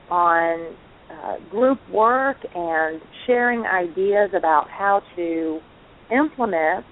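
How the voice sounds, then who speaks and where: A woman's voice: on the low side, slight background noise. A woman co-hosting a spiritual talk show, speaking enthusiastically and effusively. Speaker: co-host. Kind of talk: casual chat on a call-in show. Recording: tinny phone line.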